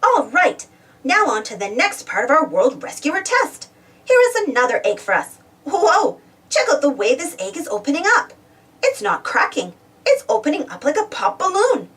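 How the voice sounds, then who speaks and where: Female children's storybook reader, high voice, highly animated and exclamatory, recorded a little away from the mic. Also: normal speed. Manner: animated, exclamatory, addresses young listeners with questions. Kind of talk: expressive children's read-aloud. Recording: distant from the mic.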